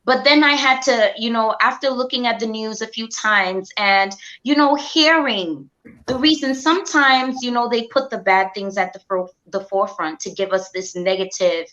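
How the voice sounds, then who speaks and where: A woman's voice: fairly high pitch, normal speed, bright, forceful tone. A woman earnestly sharing her opinion as a panelist in a conversational live discussion, over a muffled stream. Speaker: panelist on a live talk show.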